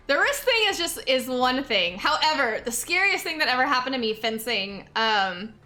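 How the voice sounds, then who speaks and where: Bright-voiced young woman streamer, slightly hoarse. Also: sits high, very animated, a little slow. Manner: chatty, many filler likes, repeats thanks. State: a bit hoarse, sore throat. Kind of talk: casual chat while gaming.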